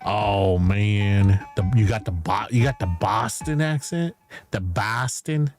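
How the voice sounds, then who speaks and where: A man streaming as a VTuber, chatting casually and candidly, swearing freely and filling pauses with "like" and "um". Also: fairly low pitch, a little mellow. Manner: rambling, candid, swears casually, frequent fillers and laughs. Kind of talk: casual stream chat answering viewer questions. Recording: music playing behind.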